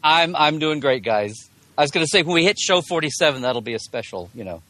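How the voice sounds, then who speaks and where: A man's voice: a little high, expressive, fast talker, pushed and bright, dry recording. Chatty man in a dry, close recording. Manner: chatty, explanatory, jokey asides. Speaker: returning podcast guest. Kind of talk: casual interview chat.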